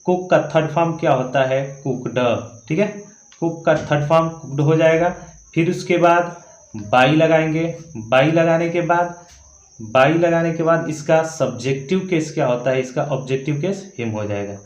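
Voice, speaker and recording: Echoey, higher-pitched male grammar teacher. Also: normal speed. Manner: instructional, repeats key points, frequent check-in tags. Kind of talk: classroom-style grammar lesson, explaining rules step by step. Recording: echoey room.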